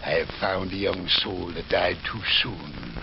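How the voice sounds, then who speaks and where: Obsessed mad doctor, deep and swooping. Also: male voice, animated, measured pace, gravelly, exaggerated voice. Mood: fanatical, exultant. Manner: intense, brooding, theatrical. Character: obsessed, half-mad doctor experimenting with raising the dead. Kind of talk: 1940s radio horror acting. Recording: muffled, noisy old radio recording.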